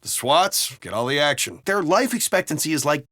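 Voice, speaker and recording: A man's voice: a little high, very animated, moderate pace, bright, forceful tone, dry recording, clean studio sound, heavily acted. A man playing a brash, griping American city cop in video game banter, talking in a colloquial, wry and profane way. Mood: dry, griping. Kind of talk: casual in-game banter dialogue.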